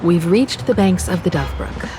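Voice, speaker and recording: A woman playing a calm, composed young navigator in a video game, speaking in a measured, reflective way. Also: lowish voice, natural voice. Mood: composed, focused. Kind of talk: scripted video game dialogue. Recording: music behind the voice.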